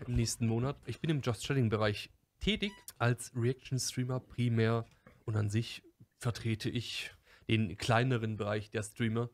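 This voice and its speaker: Brief: twenties male streamer, measured formal intro, faint noise. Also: mid-range voice, steady tone. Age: 20s. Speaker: reaction streamer.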